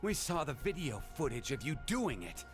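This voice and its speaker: A confrontational, foul-mouthed gunman from a video game. His voice runs a little high and lurches up and down as he sneers accusations over background music. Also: very animated, moderate pace. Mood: accusing. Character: volatile, accusing, foul-mouthed man with a gun. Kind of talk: dramatic video game voice acting.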